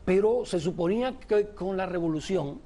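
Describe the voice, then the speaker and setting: A man's voice: sits high, expressive, normal speed, dark tone, slight background noise. A man from Cuba, an author and journalist, answering interview questions in a reflective, discursive way.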